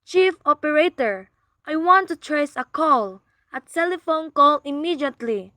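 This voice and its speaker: Woman acting frantic, imperious high-voiced lady. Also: expressive, unhurried, bright, forceful tone, dry recording, heavily acted. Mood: urgent, alarmed. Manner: agitated, demanding, indignant, haughty. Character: frantic, imperious wealthy married woman reporting a murder plot. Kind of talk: radio play acting, suspense thriller.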